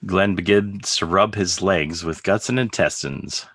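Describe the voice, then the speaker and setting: A man's voice: sits low, unhurried, a bit bright, no echo, clean studio sound. A man reading stage directions as scripted, present-tense narration for a table read. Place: remote video call table read, dry sound.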